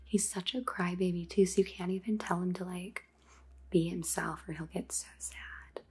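A woman's voice: lowish voice, fairly even intonation, normal speed, a little mellow, slightly rough. A woman playing a bored, snide young vampire housemate with a disdainful, gossipy drawl in an ASMR roleplay. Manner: disdainful drawl, filler-heavy valley-girl talk. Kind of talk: whispered ASMR roleplay monologue.

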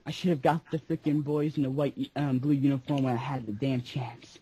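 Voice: mid-range voice, fairly even intonation, brisk, background noise, lightly acted. An amateur fandubber voicing a betrayed, accusing young male soldier in slangy, profane street talk for a comic parody. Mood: hurt, resentful. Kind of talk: amateur comedic fandub, improvised over a Skype call.

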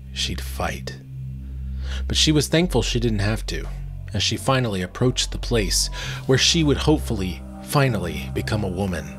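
Male storyteller, thirties, dramatic rhymed narration, unhurried, close mic, faint music behind. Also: deep voice. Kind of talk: dramatic fairy-tale narration in verse. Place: small book-lined room, indoors. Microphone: close desk microphone.

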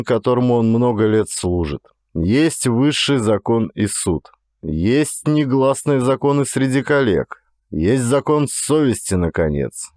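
A man's voice: on the low side, a little mellow, no room sound. Male audiobook narrator, very dry sound. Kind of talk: scripted narration of a detective thriller.

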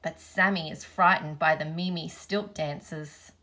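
A woman reading a children's picture book aloud in measured, deliberate phrases. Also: deep voice, fairly even intonation, bright, forceful tone. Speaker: storybook reader, teacher.

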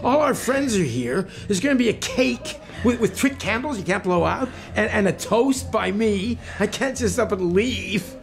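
A man in a radio drama playing a frazzled, tipsy voiceover actor who stammers his protests and exclaims loudly. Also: high-pitched, lively intonation, moderate pace, creaky, few pauses. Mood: pleading, reluctant. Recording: music behind the voice.

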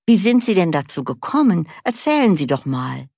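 A woman's voice: lowish voice, big pitch swings, moderate pace, gravelly, a little mellow, no room sound, clean studio sound, full character voice. A woman reading the part of a polite, formal job interviewer in a scripted dialogue for language learners.

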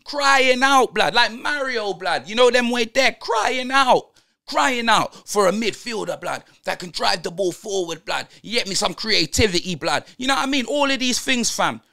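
A man's voice: sits high, animated, normal speed, creaky, sharp tone, heavily acted. A man doing a ranting London roadman fan. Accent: London roadman slang. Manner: ranting, slang-heavy, tags every line with blood and fam. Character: brash young male London roadman football fan. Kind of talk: comedic football rant.

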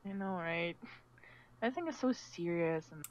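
A woman playing a friendly, anxious young survivor in a casual, expressive game roleplay style. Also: lowish voice, normal speed, natural voice. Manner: casual, expressive, hesitant repetitions. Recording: muffled, slightly noisy home mic.

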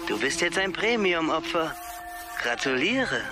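An actor playing a smug, malicious advertising program that congratulates its victims with mocking glee in an audio drama. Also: male voice, a little high, very animated, measured pace, over loud music. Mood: gleeful mockery. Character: smugly cheerful malicious advertising plug-in voice.

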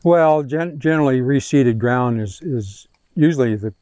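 A mid-pitched man with a mellow, relaxed tone answers questions from a crowd standing in a prairie pasture. He hedges and restarts often, speaking into a handheld microphone that keeps his voice close and dry. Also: normal speed, dry recording. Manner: hesitant, many restarts and fillers. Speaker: range scientist answering questions. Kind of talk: outdoor field day Q&A. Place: open prairie pasture outdoors.

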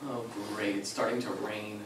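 A man's voice: lowish voice, level tone, moderate pace, lightly acted. A young man acting an anxious, patient grandson who also narrates his memories in a live stage play. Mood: bemused. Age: young adult. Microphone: far camera in the audience. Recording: echoey small theater, distant camera, faint hiss.

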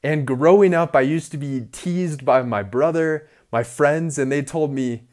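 Young man, nasal, unhurried delivery, earnest upbeat vlogger speaking into an earphone mic in a small room. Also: fairly high pitch, a little mellow. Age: young adult. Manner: upbeat, earnest, talks to camera. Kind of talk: casual self-improvement vlog. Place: small indoor room by a door. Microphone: earphone headset mic.

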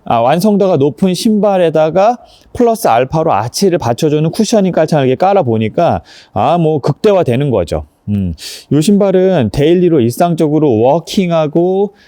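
A man's voice: fairly high pitch, sing-song, normal speed, hardly any pauses, dark tone, no room sound. A man presenting a casual hands-on review, explaining each step politely as he demonstrates.

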